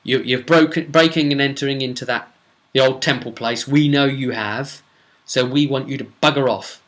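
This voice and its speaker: A man's voice: mid-range voice, steady tone, moderate pace, a bit bright, close to an everyday voice. A British man voicing a long-winded, contemptuous city authority who scolds and threatens adventurers in a role-play recap. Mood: threatening.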